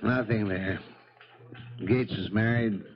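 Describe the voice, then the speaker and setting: An actor playing a down-to-earth, doubting detective sidekick with blunt delivery in a 1970s radio mystery. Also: male voice, on the low side, takes it slowly. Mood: skeptical. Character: skeptical, down-to-earth male police detective sidekick. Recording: muffled 1970s radio broadcast.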